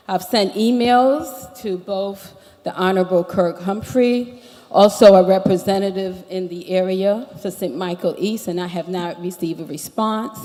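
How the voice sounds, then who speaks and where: Woman, American-sounding accent, resident speaker at a public consultation; formal, itemised delivery, mid pitch, long hall reverb. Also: warm tone. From Barbados. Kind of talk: formal public presentation of a complaint. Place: large indoor meeting hall.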